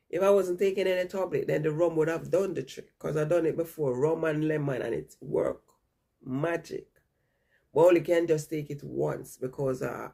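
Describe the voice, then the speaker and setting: A woman's voice: low voice, creaky, dark tone, breathy edge. A woman vlogger chatting casually and ramblingly to her viewers while she has a stuffy nose from a cold. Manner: rambling, chatty, repeats herself.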